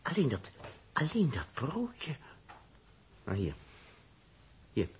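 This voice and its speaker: A man's voice: medium pitch, huge pitch swings, slow, slight background noise. An actor playing a practical, grumbling, hungry space traveller in a 1960s Dutch radio drama, hesitant and exclamatory as he thinks aloud. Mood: baffled.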